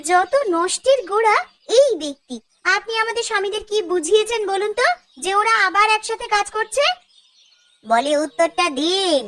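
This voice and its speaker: Woman acting shrill, nagging village wife. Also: expressive, normal speed, fairly bright tone. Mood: accusing. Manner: nagging, confrontational. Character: peevish, jealous village farmer's wife, scolding and suspicious. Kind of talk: animated cartoon dialogue. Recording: music bed behind the voice.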